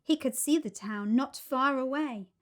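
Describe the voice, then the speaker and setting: A woman reading a children's storybook aloud as an expressive narrator. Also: high-pitched, a little slow. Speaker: storybook reader.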